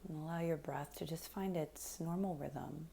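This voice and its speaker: A woman leading a guided meditation in a soft, breathy, unhurried voice that often nears a whisper, giving gentle, soothing instructions. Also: deep voice, a little hiss or hum.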